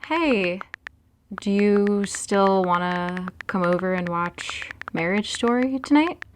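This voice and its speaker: A woman's voice: mid-range voice, animated, takes it slowly, a little hiss or hum. A young woman playing an easygoing college student in an audio drama, speaking casually and naturally. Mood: upbeat. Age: young adult.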